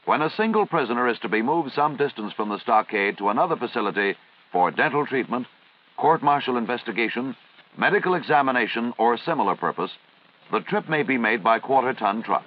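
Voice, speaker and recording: An American man reading formal, measured, instructional narration in the style of a 1950s military training film. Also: mid-range voice, a little hiss or hum. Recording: dull, band-limited vintage film soundtrack.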